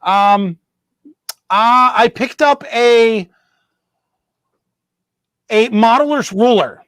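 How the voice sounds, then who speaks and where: A man with a notably high voice hosts a laid-back model railroad stream from his hobby room. He talks in loose, rambling bursts, restarting sentences and cracking jokes along the way. The sound is close and dry, with almost no room echo. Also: extremely slow, fairly bright tone, smooth. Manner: rambling, self-correcting, joking. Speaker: host of a model railroad show. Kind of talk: casual live-stream chat.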